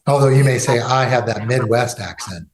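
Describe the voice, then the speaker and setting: A man's voice: medium pitch, moderate pace, slight background noise. A man hosting a legal podcast, talking in a loose conversational way with fillers and restarts. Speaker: podcast host and law firm executive.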